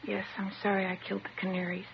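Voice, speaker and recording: A woman's voice: mid-range voice, monotone, quick pace, gravelly, lightly acted. A woman playing a disturbed teenage girl in a 1950s radio drama, plaintive and childlike, matter-of-fact and wistful. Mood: pleading, childlike. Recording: dull, noisy old radio recording.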